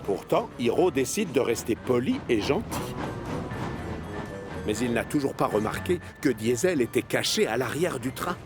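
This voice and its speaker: A man narrating a children's animated story in an expressive storyteller's manner. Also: mid-range voice, moderate pace. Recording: music behind the voice. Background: music.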